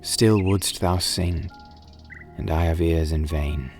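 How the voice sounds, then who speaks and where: A man giving a dramatic poetry reading in a solemn, measured, wistful manner, drawing out his phrases. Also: low-pitched, steady tone, a little mellow. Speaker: poetry reader and narrator. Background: music and birdsong.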